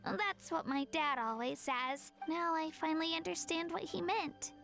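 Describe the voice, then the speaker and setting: A young girl in a video game, with a very high voice that has some creak in it. She is gentle and earnest, encouraging others in a soft-hearted, slightly hesitant way. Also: normal speed, faint music behind, full character voice. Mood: earnest, reflective.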